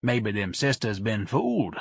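Mild, wary old-fashioned gentleman voiced by a male reader, audiobook dialogue, slow, earnest, husky, dry sound. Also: lowish voice, big pitch swings, no echo, heavily acted. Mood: suspicious.

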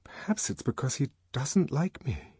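A male narrator gives voice to a lovestruck young woman in a novel, anxious and melancholy as she thinks to herself. The voice is husky and dry, with expressive rises and falls. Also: mid-range voice, unhurried, no room sound, pitched above the performer's own voice, heavily acted. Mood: wistful doubt.

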